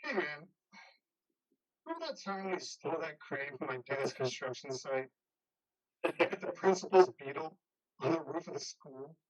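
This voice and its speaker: An actor playing an easygoing, loyal construction worker friend in a play reading, talking casually and haltingly. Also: male voice, fairly high pitch, animated, rough recording. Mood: nostalgic. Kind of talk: remote staged play reading.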